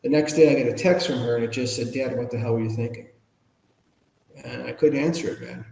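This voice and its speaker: A man's voice: mid-range voice, brisk, vocal fry, a little mellow, a little hiss or hum. American guy 50s or older from chicago, candid podcast guest, informal, repeats himself for emphasis, roomy echo. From Chicago, United States. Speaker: podcast guest, ethics trainer and speaker. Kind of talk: candid personal storytelling in an interview. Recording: roomy, echoing reverberant room.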